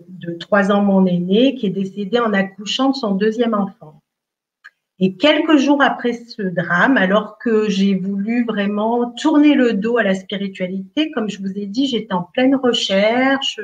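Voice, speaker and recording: A middle-aged woman from Italy speaking as a spiritual healer in an online workshop, slow and hesitant with many fillers. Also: medium pitch, dull audio.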